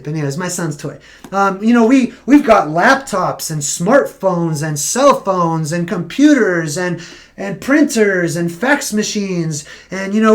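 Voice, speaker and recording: Man with a fading voice, live streaming. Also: high-pitched. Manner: rambling, self-correcting, conversational. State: losing his voice. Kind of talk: casual live stream talk.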